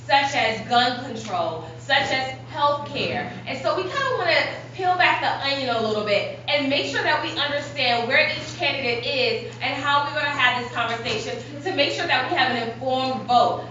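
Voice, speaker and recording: A woman moderating a candidate forum in an informal, halting way, with many fillers. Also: sits high, expressive, sharp tone. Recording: echoey hall, distant mic, dull sound, background noise.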